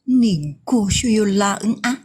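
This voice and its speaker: A woman's voice: medium pitch, lively intonation, takes it slowly, darkish tone, breathy edge, full character voice. A woman with an Ilocano accent playing a sassy, scheming young waitress who mocks and talks back, in a comedy drama. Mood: sly. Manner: brash, mocking, talks back. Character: sassy, scheming, rude young daughter working as eatery waitress.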